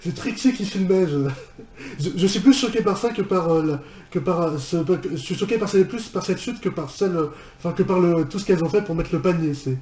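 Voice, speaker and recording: A man giving casual, unscripted reaction commentary as a video host, haltingly, often repeating and rephrasing himself. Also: high voice, animated, darkish tone. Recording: roomy echo, music behind.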